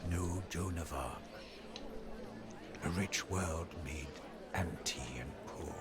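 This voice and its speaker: A man playing a battle-weary French soldier who narrates a video game's storybook journal in a solemn, theatrical, measured way. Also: deep voice, vocal fry, loud music behind the voice, heavily acted. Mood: grieving, bleak. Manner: solemn, theatrical, measured delivery with hushed phrase endings.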